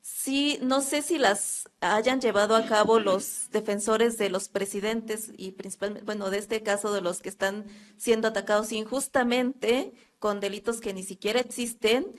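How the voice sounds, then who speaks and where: A woman's voice: medium pitch, steady tone, moderate pace. A woman with a Mexican accent asking a long question from the floor at a public talk, earnest and hesitant, with many fillers. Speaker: audience member asking a question.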